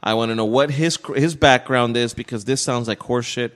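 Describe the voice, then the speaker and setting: A man's voice: mid-range voice, brisk, a bit bright. A man hosts a sports podcast, joking casually and crudely. He gives blunt opinions in an everyday mid-range voice, recorded with a long echo around it. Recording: echoey room with long reverb.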